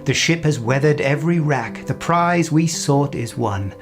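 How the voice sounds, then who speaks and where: A man's voice: a little high, measured pace, music in the background. A man giving a dramatic recital of poetry.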